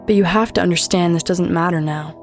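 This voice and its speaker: Actress as vengeful she-devil for audio drama: lower female register, commanding, feral menace under cool sorrow, music underneath. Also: fairly quick, lightly acted. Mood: cold regret. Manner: hissing, commanding, theatrical. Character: vengeful demon woman, the devil's daughter, feral yet coolly sorrowful.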